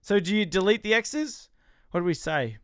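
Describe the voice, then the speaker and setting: Loud jokey Australian man, smooth voice. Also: sits high, moderate pace, no echo, clean studio sound. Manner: loud, jokey, excitable, trash-talking. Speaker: podcast co-host. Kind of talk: casual reality-TV recap chat.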